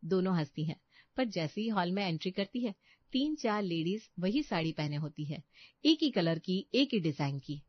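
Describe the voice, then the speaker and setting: A woman's voice: fairly low pitch, animated, moderate pace, no echo, dull audio. A woman reading a scripted story as narrator.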